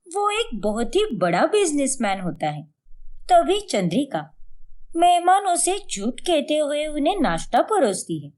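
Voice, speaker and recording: Casting: female narrator for cartoon moral tales, slightly high voice, unhurried pacing, highly animated intonation.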